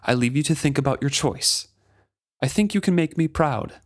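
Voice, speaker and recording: A man's voice: fairly high pitch, lively intonation, moderate pace, voice pushed up. A man voicing a fierce, exasperated sea captain in a fantasy storybook, with commanding, emphatic delivery and swearing. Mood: calm, firm. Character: fierce exasperated ship captain berating his stubborn living ship. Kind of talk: dramatic reading from a fantasy novel.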